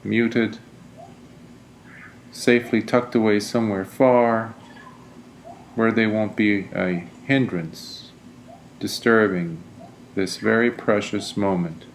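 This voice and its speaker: A man leading a guided meditation as a teacher, speaking in a gentle, deliberate, soothing manner. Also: on the low side, steady tone, a little hiss or hum.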